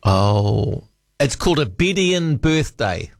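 Dry-voiced NZ talkback host. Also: male voice, medium pitch, very animated, slow, gravelly, no room sound. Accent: New Zealand. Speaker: late-night talkback radio host. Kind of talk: casual talkback radio chat. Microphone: studio broadcast mic.

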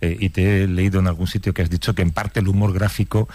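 A radio host with a low voice leads the conversation at an easy pace. He pauses often on fillers while he sets up his questions. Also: no echo. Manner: relaxed, hesitant with frequent fillers. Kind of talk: radio interview.

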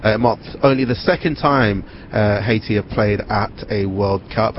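A male reporter presenting a news segment and interviewing people in the field, speaking in a measured way with small hesitations. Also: mid-range voice, lo-fi sound, slight background noise.

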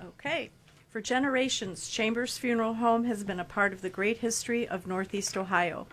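A woman's voice: fairly high pitch, fairly even intonation, normal speed. A woman co-hosting a radio show, speaking as a presenter who also reads sponsor spots. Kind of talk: radio talk and scripted sponsor read.

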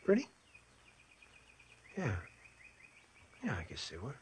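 An actor playing a glib young racetrack hustler who talks in slang, in 1940s radio style. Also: male voice, mid-range voice, big pitch swings, fairly bright tone, a little hiss or hum. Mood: guarded. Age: young adult. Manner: glib, fast-talking, slangy. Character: smooth-talking young male racetrack hustler.

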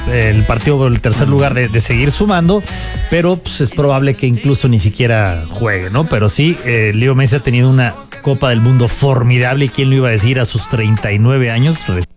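A man's voice: mid-range voice, brisk, over music. A man giving football commentary in a live radio discussion. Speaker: sports commentator.

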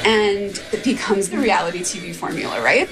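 A woman's voice: mid-range voice, lively intonation, normal speed, low-quality recording. Emphatic woman explaining, music behind her. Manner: emphatic, asks and answers own questions. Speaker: expert interviewee. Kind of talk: explanatory interview talk.

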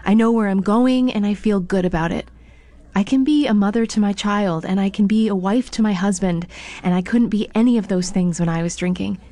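A woman's voice: mid-range voice, a little fast, warm tone, no room sound. A woman giving a candid, confessional personal testimony for a public service ad. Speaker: testimonial speaker.

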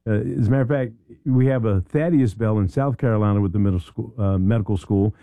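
A man's voice: lowish voice, fast, dark tone, no echo. A middle-aged man hosting a radio talk show in a conversational interview style.

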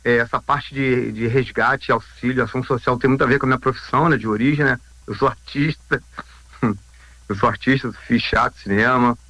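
A man's voice: medium pitch, fairly even intonation, a little fast, pushed and bright, dry recording, low-quality recording. A man in his fifties with a Rio de Janeiro Brazilian accent, speaking informally as an interview guest, repeating phrases and adding tag questions. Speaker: interview guest, volunteer rescue group founder.

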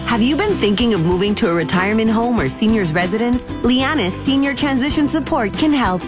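Woman radio ad voice, lively high read over music. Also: a little fast. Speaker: ad announcer. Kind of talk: brisk commercial read.

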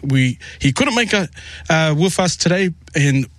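Chatty higher-pitched male radio host. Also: lively intonation, measured pace, fairly bright tone, dry recording, clean studio sound. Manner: rambling, repeats words and restarts often. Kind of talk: warm casual interview with Pacific greetings.

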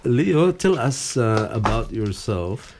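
A man hosting a radio show from a studio with a Filipino accent, chatting casually, switching between Filipino and English with many pauses and fillers. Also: mid-range voice, big pitch swings, noisy background.

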